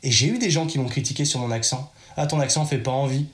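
A man from France talks straight to the listener in a chatty, direct monologue. His voice sits at an ordinary middle pitch. The room around him echoes noticeably. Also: quick pace. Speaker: podcast host. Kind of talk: casual advice monologue.

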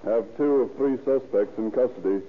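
An actor voicing a police telegram with clipped formality in an old radio crime drama. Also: male voice, mid-range voice, moderate pace, natural voice. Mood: official. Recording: tinny, noisy old radio recording.

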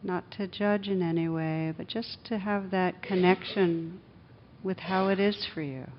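Low-voiced woman guiding meditation, dull noisy recording. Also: steady tone. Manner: soothing, measured, with short phrases and pauses. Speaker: meditation teacher leading a group. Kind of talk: guided meditation, slow instructive speech. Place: a room with a live group. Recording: dull lo-fi recording, clear background rumble noise.

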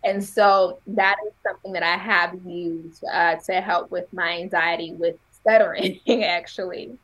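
A woman guest on a podcast with a stutter, telling her story in a candid, animated way and laughing often. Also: lowish voice, unhurried, rough recording. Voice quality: has a stutter. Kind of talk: casual conversational interview.

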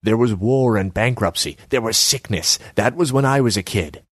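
Man voicing haughty young female artist, high, preachy, dry close sound. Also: moderate pace, no echo, natural voice. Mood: haughty lecturing. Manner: scornful, lecturing, self-important.

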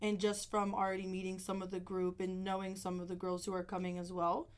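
A woman from Phoenix talking as a guest in a casual interview, animated and giggly. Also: medium pitch, a little fast. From Phoenix, Arizona.

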